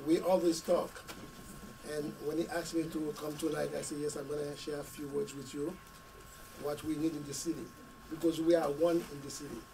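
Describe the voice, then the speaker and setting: A middle-aged man, hoarse from a cold, giving an earnest speech at a community meeting in short, paused phrases. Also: on the high side, level tone. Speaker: school committee member. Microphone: podium mic, camera at a distance. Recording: distant mic with faint room noise.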